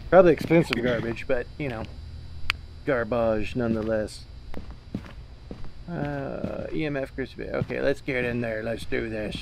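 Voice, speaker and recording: A man's voice: medium pitch, normal speed, pauses often. A man chatting casually and jokingly while playing a horror game, swearing now and then. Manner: joking, swearing, playfully chanting. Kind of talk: casual gaming chat and commentary. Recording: clear noise behind the voice.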